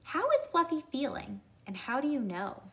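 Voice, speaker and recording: A woman reading a children's story aloud, addressing young listeners deliberately and pausing to ask them questions. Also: high-pitched, wildly swooping pitch, creaky, a little hiss or hum.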